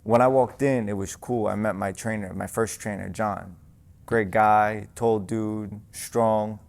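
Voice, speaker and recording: Gravelly low young New boxer. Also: male voice, a little slow. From New York. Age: young adult. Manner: relaxed, lots of fillers like "you know". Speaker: guest, amateur boxer. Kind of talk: casual interview. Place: boxing gym.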